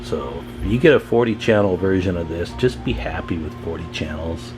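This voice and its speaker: A man's voice: sits low, animated, fairly quick, music in the background. A man talking informally and thinking aloud as he gives a casual hands-on demonstration as a radio repair hobbyist.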